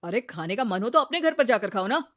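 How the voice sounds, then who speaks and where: A woman's voice: a little high, lively intonation, fairly quick, fairly bright tone, dry recording. Moral-story husband, played by a woman: young man, casual and blunt, emphatic delivery, dry recording. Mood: brusque. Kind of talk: animated Hindi moral story dialogue.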